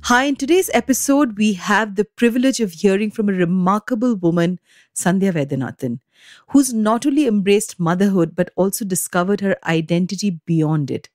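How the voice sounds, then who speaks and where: A woman's voice: medium pitch, animated, normal speed, a little mellow, no room sound, clean studio sound. A woman hosting a podcast, presenting a guest in a scripted, formal introductory style. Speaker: podcast host. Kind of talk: scripted introduction and interview question.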